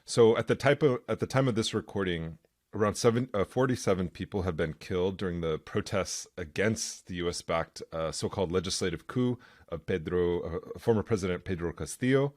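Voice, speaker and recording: A man's voice: low-pitched, fairly quick, a little mellow, dry recording, studio quality. A man hosting a podcast interview, earnest and conversational. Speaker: podcast host.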